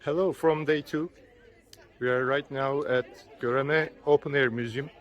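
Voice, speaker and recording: A man's voice: mid-range voice, fairly even intonation, a little slow, highs cut off. A man with a Turkish accent, a native Turkish speaker, chatting casually as a travel vlogger outdoors, recorded on a built-in camera mic. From Turkey. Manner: chatty, with fillers, loose phrasing. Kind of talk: casual travel vlog narration. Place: outdoors at an open-air museum.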